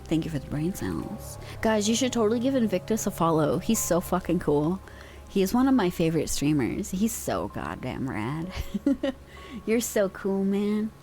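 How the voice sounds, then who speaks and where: A woman's voice: lowish voice, sing-song, moderate pace, mellow, soft background music. A young woman streamer chatting casually with her viewers in a slangy, laid-back way, using pet names, on a close microphone.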